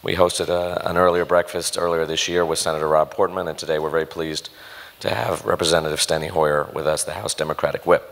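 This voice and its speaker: A man with a hoarse, failing voice giving prepared welcome remarks at a breakfast event. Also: extremely low pitch, little pitch movement, brisk, a bit bright, big-room sound. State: losing his voice, hoarse. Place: conference room at a live breakfast event.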